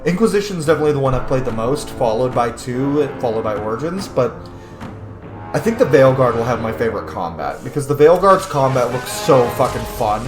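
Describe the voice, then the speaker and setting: Man, lively swooping pitch, blunt sweary let's-play commentary, casual and opinionated, music underneath. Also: fairly quick, echoey room. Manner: blunt, swears freely, emphatic. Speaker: gaming channel host.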